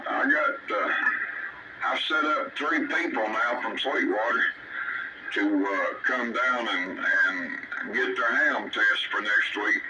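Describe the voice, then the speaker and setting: A man with a Tennessee Southern accent talking casually over CB radio in a folksy, halting, repetitive way. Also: fairly low pitch. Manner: folksy, halting, repeats himself. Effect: two-way CB radio transmission. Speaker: CB radio operator. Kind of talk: casual radio chat, rambling storytelling. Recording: distant, very noisy CB radio signal.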